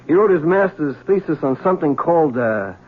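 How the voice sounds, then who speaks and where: An actor playing a sardonic, wisecracking police lieutenant in a 1970s radio drama. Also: male voice, fairly high pitch, lively intonation, fairly quick, breathy edge. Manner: sardonic, teasing. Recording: tinny old radio broadcast.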